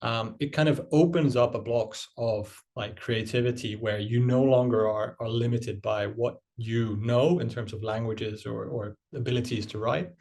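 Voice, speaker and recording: A man's voice: medium pitch, fairly even intonation, moderate pace, hardly any pauses, darkish tone. A man from Finland who grew up largely abroad, answering interview questions in a conversational way, with frequent fillers and restarts. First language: Finnish. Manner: hesitant, many fillers and restarts. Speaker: guest, marketing executive.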